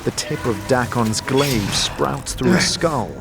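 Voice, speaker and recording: A man's voice: mid-range voice, animated, slow pace, loud music behind the voice. A man delivering dramatic scripted narration as the game master of a fantasy story. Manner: descriptive second-person storytelling. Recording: clear background noise, ambience.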